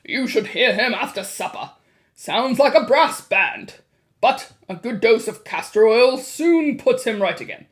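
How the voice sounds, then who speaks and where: A woman's voice: a little high, expressive, unhurried. A woman voicing an angry, pompous middle-aged father in a storybook, blustering with indignation. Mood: gruff, scornful.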